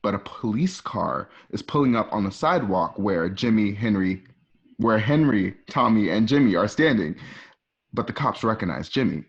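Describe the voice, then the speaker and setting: A man's voice: fairly low pitch, expressive, normal speed, vocal fry, husky. Dull-sounding male narrator reading stage directions. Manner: stumbles and corrects himself while reading. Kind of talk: scripted narration for an online radio drama. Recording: dull lo-fi home recording.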